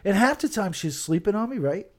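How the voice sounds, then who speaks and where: A man's voice: high-pitched, big pitch swings, fairly quick. A man co-hosting a podcast in casual chat, griping comically with plenty of profanity. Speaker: podcast co-host.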